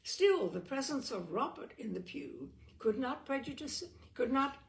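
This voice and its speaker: Lady reading an old comic story out loud, very up and down, bit croaky, dramatic emphasis. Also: sits high, big pitch swings, measured pace. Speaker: storyteller, audiobook reader. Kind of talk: expressive literary narration of a comic short story.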